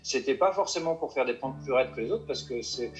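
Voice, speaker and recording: A man's voice: mid-range voice, expressive, fairly quick, soft background music. Hesitant man with dull lo-fi sound. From Paris suburbs. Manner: hesitant, many restarts and fillers. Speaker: mountain guide. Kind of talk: interview answers.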